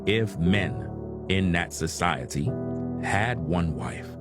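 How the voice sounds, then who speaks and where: A man's voice: low voice, expressive, takes it slowly, loud music behind the voice. A man lecturing in a combative, preaching way. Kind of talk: preaching commentary.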